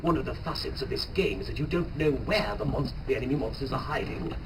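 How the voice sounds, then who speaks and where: A man's voice: medium pitch, animated, fast, close to an everyday voice. A man playing a sinister, formal game master villain in a theatrical, menacing live manga reading. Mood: explaining. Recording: noisy live convention recording, far from mic.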